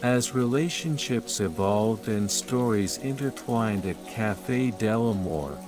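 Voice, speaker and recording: A man with a fairly low voice narrates a bedtime story. His delivery is calm, soothing and very unhurried, each phrase measured out for sleep. Gentle music and rain play behind him. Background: calm music and rain sounds.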